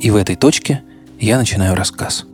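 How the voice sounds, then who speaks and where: A man's voice: fairly low pitch, a little fast, soft background music. A man hosting a podcast with scripted narration that speaks straight to the listener. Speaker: podcast host.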